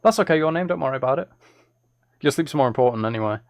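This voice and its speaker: A man's voice: medium pitch, expressive, quick pace, dry recording. A man chatting casually as he works on music on a live stream, musing aloud in a self-deprecating way. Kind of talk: casual chat while working.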